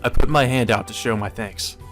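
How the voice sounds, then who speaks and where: A man's voice: medium pitch, a little fast, a bit bright, faint music behind. A man reading visual novel narration aloud as a let's player, with casual commentary.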